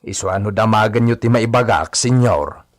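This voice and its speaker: A man playing a deferential, cautious hacienda henchman with a husky voice in a radio drama. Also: on the low side, normal speed, no room sound, clean studio sound, close to an everyday voice.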